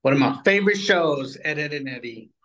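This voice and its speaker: Very animated man, casual co-host. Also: on the high side. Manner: jokey, quick to laugh. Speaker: co-host of a cartoon countdown show. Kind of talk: casual chat, short reactions.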